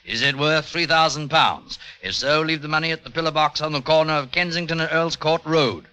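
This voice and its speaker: An actor playing a brisk, businesslike police inspector with a clipped official manner in an old-time radio drama. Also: male voice, a little high, sharp tone, clear, resonant tone. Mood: businesslike, dutiful.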